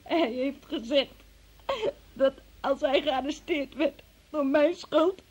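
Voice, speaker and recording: A distraught young woman in a radio play, with an extremely high voice. She speaks haltingly and pleadingly, tearful and afraid under interrogation. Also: fairly even intonation, a little mellow, dull audio. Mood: fearful, pleading. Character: frightened, distraught young woman confessing under police questioning. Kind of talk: Dutch radio drama acting.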